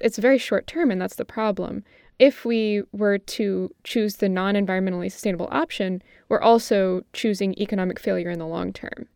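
A woman's voice: mid-range voice, a little mellow, smooth. A woman with a clean, resonant voice talks in a conversational discussion. She reasons reflectively through her points. The sound is dry, with no room echo.

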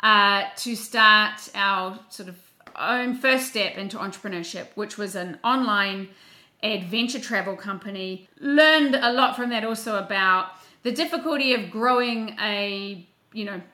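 A woman from New Zealand with a clear New Zealand accent talks through her background in a chatty, self-deprecating way, full of small fillers. Her voice is bright and forceful, and it sounds roomy, as if recorded in an echoey space. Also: medium pitch, a little slow. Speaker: guest. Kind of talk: casual interview talk.

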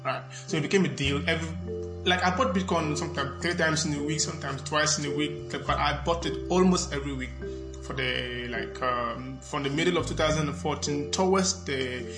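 Halting young Ghanaian man over music. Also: fairly high pitch, poor audio. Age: young adult. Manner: halting, repeats words. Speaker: podcast host. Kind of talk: casual personal storytelling to listeners.

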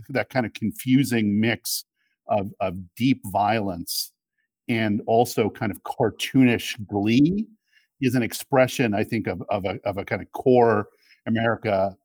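Middle aged guy, kinda deep voice, thinks out loud and repeats words, dry sound, interview guest. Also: slightly rough, no echo. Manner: halting, thoughtful, frequent repetitions. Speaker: interview guest, media executive. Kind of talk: reflective political discussion.

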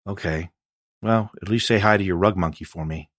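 A man's voice: deep voice, a little fast, a bit bright, no room sound, clean studio sound. A man reading as a caring, informal woman friend. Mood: friendly, concerned. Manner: informal, familiar. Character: emotional, caring female friend helping out. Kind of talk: audiobook character dialogue.

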